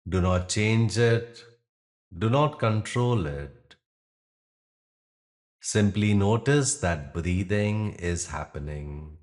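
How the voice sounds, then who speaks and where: Man, low-pitched, very slow pace, soothing meditation guide, instructional, pauses between phrases. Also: a little mellow. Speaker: yoga nidra meditation guide.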